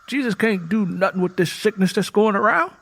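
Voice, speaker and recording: A man voicing a poor, defiant young rural laborer with a quick temper and folksy country speech in an audiobook. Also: high-pitched, lively intonation, creaky, fairly bright tone, voice pushed up, exaggerated voice. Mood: grim, certain. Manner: folksy rural vernacular, double negatives. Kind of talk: audiobook character dialogue.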